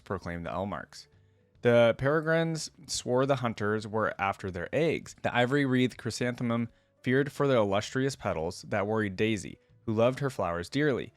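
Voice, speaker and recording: A man's voice: fairly low pitch, no echo. A man hosting a reaction video, reading a story aloud expressively and adding casual commentary.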